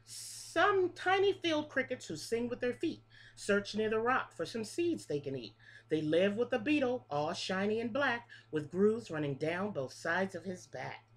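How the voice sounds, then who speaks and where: A woman's voice: mid-range voice, sing-song, a little slow. A middle-aged woman reading a picture book aloud to preschool children in a sing-song rhythm, stopping to explain things, recorded close on a webcam in a small room. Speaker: pre-K teacher. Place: small room with a classroom wall display. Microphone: webcam at close range.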